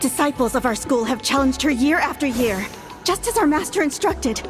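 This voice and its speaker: High-voiced, sharp-tongued young priestess. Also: female voice, expressive, moderate pace, music in the background. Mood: wary, pointed. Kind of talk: anime-style video game voice acting.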